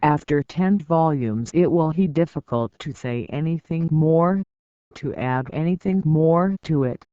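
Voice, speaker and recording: Audiobook narrator, very slow deliberate pacing, smooth clear voice, dry close mic, slightly dull lo-fi sound. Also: medium pitch, warm tone, no room sound. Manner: measured, deliberate reading with pauses between phrases. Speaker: audiobook reader.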